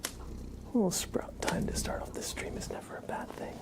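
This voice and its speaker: An ASMR livestream host who whispers and talks softly in a gentle, affectionate way, close to the microphone. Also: moderate pace. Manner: gentle, affectionate cooing at a pet. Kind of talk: live ASMR, whispered and soft-spoken greetings and chat. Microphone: close sensitive microphone.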